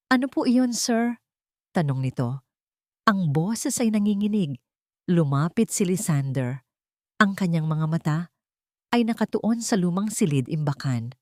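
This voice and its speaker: A woman's voice: deep voice, dry recording, studio quality. A woman with a Filipino accent narrating a scripted story in a dramatic audiobook style.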